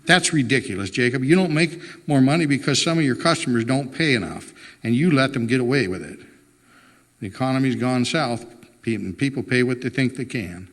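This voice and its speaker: A man's voice: medium pitch, animated, a little fast, fairly bright tone. Man reading as an insistent woman pastor, echoing hall. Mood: firm, insistent. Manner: firm, insistent. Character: earnest, insistent woman pastor pressing her partner. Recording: reverberant hall with long echo.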